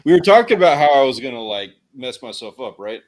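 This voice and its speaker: A man's voice: mid-range voice, sing-song, fast, low-quality recording. Casual, joking Texan man chatting. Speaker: livestream co-host. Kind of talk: casual chat about fishing and outdoors.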